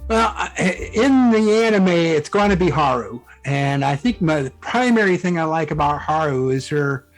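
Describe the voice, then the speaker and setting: A man with a somewhat high voice talks as an interview guest over background music. His delivery is casual and halting, often breaking off and rephrasing as he explains himself. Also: animated, low-quality recording. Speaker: interview guest, fan fiction author and musician. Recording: music playing behind the voice.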